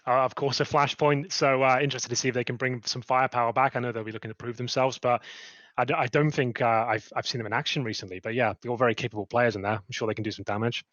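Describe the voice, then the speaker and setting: A man's voice: medium pitch, fairly even intonation, fast, fairly bright tone, no echo, muffled recording. A man, an esports player, answering interview questions casually with a rambling, filler-heavy manner.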